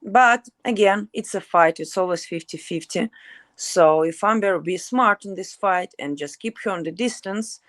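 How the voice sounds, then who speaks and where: A woman's voice: mid-range voice, normal speed, dry recording. A young woman fighter with a Ukrainian accent, speaking blunt, broken English as a guest in a casual interview.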